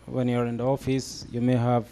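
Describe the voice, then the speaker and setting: A man's voice: mid-range voice, completely flat delivery, normal speed, dark tone. A young man from Ethiopia speaking on a discussion panel, hesitant and full of fillers as he explains his answer. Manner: hesitant, many fillers and restarts. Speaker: panel guest.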